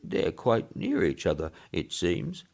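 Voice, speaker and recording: A man's voice: low-pitched, wildly swooping pitch, takes it slowly, vocal fry, a little mellow, heavily acted. A man voicing a frail old Englishman in a storybook reading, chatty and rambling, with a piping, quavering voice. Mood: chatty, pleased. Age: older. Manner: rambling, complaining gossip. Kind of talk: short story character voice.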